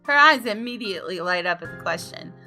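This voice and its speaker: A woman's voice: medium pitch, expressive, a little fast. A woman gamer reading a visual novel's story aloud expressively, with casual commentary of her own. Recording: faint game music behind. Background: soft game music.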